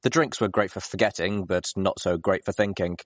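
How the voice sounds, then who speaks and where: A man reading a story aloud as a scripted, expressive narration. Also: deep voice, a little fast, no room sound, studio quality. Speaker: audiobook reader.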